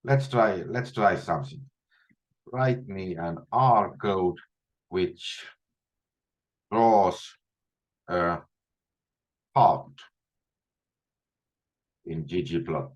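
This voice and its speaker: Low-voiced Estonian man teaching live. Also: very slow, long pauses, low-quality recording. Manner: thinking aloud with many restarts and repeated words. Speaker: instructor. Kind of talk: live online coding tutorial. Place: small room at home, indoors.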